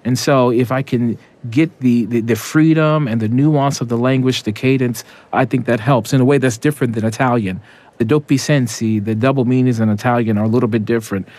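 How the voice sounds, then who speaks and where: A man's voice: mid-range voice, fairly even intonation, a little fast, a little mellow. A man, an opera tenor, giving reflective interview answers with small restarts.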